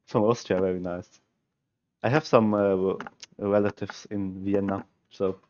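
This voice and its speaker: A young man from Switzerland, German his first language, speaks English with a Swiss German accent. He thinks aloud in a halting, musing way, correcting himself as he goes, his voice sitting a little low. The headset audio sounds dull and lo-fi, with faint hiss underneath. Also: fairly even intonation, darkish tone. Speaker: chess streamer. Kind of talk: thinking aloud while solving chess puzzles, chatting with viewers. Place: small room at home, indoors.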